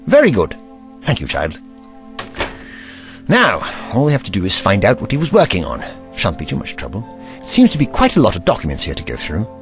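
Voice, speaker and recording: An actor playing an eccentric, kindly and curious investigator in an audio drama, pensive and courtly in manner. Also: male voice, lowish voice, huge pitch swings, brisk, long pauses, soft background music. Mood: brisk, kindly.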